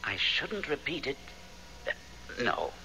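Ghostly go-between: coy, genial, lively pitch, forward tone, vintage radio drama with some noise. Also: male voice, takes it slowly, exaggerated voice. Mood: confiding. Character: ghost man, genial, evasive, gently persuasive go-between. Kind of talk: 1970s radio drama acting. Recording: noisy old radio broadcast recording.

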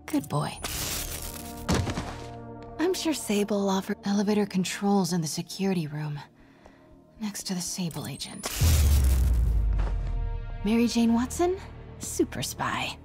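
A woman's voice: medium pitch, sing-song, unhurried, pauses often. A woman voicing a determined young reporter in a video game, murmuring to herself and often dropping to a whisper as she sneaks. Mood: wry, stealthy. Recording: background music under the voice.

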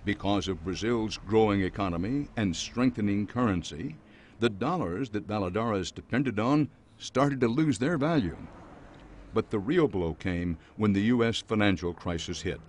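A man reporting a documentary news story in a measured, scripted voice-over. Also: lowish voice, expressive, a little hiss or hum.

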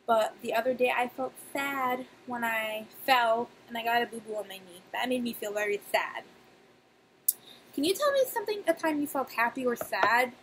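A young woman, a nursery teacher, reading aloud and talking to young children in simple, expressive speech with emphatic pauses. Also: on the high side, rough recording. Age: young adult. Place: small indoor room. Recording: clear background noise, webcam-style room recording.

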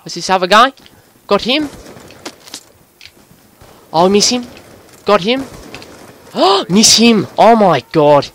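An excitable Australian gamer bloke, acted out by a man, commentates live on a shooter video game. His voice sits on the high side and swings widely in pitch. He is jokey, loose and sweary throughout. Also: slow pace, long pauses, heavily acted. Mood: sarcastic, frustrated. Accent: put-on Australian. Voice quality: voice pushed higher by the accent. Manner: excitable, sweary, breaking into giggles at himself.

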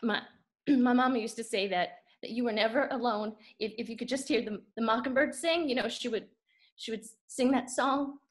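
An actress playing an anxious, devoted daughter in a theatrical monologue, speaking haltingly and emotionally. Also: female voice, a little high, lightly acted. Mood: tender, sad. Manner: halting, repeating words, emotional. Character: anxious, devoted Southern daughter kept from her mama's bedside.

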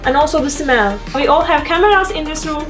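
A woman's voice: high voice, animated, a little fast. A young woman from Turkey, a PhD student showing viewers around a research lab in a friendly, explanatory way. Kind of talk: guided tour talk. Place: indoor research lab rooms. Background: background music.

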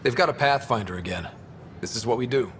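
A man's voice: mid-range voice, fast talker, big-room sound, a little hiss or hum, natural voice. A man playing an earnest, level-headed young human explorer in a video game, speaking plainly and casually.

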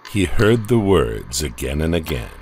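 A man narrating a horror story in a slow, dramatic way. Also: a bass voice, very animated, background noise.

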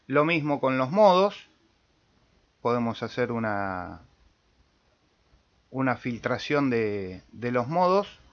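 A man with an Argentine accent giving a casual step-by-step software tutorial as host, with small check-in tags. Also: medium pitch, big pitch swings, takes it slowly, pauses often. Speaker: amateur radio tutorial host. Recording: dull lo-fi voice-over recording.

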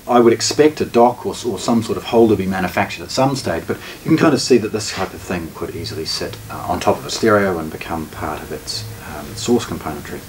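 A middle-aged man, giving an unscripted product unboxing commentary as a presenter. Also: fairly low pitch. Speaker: shop presenter, product reviewer. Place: small indoor listening room with shelves. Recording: distant camera mic, background noise, clicks.

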